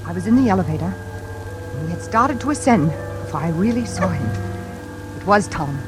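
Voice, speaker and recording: An actress in a 1940s radio suspense drama playing an anxious, frightened woman in her thirties, speaking haltingly and dramatically. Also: on the low side, expressive, close to an everyday voice. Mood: dread. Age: 30s. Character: frightened single woman haunted by her mother's killer. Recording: old radio recording, faint music behind.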